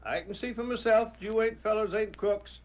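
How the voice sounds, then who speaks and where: High-pitched folksy old frontier deputy, acted by a man. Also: normal speed, natural voice. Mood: folksy, talkative. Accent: American Western. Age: older. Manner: folksy drawling frontier talk, emphatic. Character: folksy, plain-spoken old former sheriff turned deputy. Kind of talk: 1940s radio western acting. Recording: muffled old radio broadcast.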